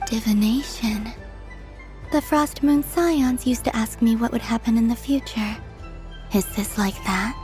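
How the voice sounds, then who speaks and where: Casting: actress as a serene, curious young goddess, high voice, slow polite delivery, understated game acting. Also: female voice, darkish tone, natural voice. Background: music.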